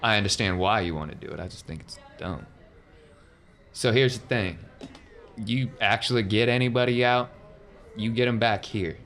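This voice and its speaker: Man doing a gruff, deep-voiced folksy hangar boss. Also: normal speed, fairly bright tone. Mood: blunt, wry. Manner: terse, blunt, drawling folksy grammar. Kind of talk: improvised tabletop roleplay.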